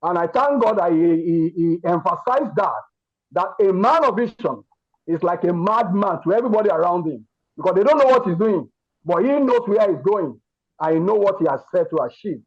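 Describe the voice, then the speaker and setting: A man's voice: high-pitched, lively intonation, moderate pace, breathy edge, dull audio. A man with a Nigerian Yoruba accent gives an earnest testimony to a group, speaking emphatically and often repeating his words and phrases. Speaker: participant in an online masterclass giving feedback.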